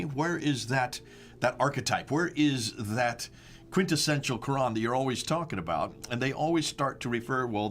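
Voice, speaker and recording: A man's voice: medium pitch, a bit bright, a little hiss or hum. A man with an American accent hosting a discussion, walking through slides with emphatic rhetorical questions and stressed dates. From United States. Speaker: host.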